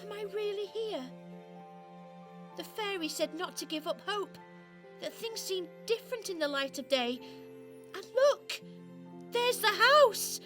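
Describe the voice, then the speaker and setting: A woman plays a little female toy come to life in a children's radio play. She is hopeful and excitable, exclaiming in a high, childlike voice. Faint music plays underneath. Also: unhurried, pauses often. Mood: amazed, joyful.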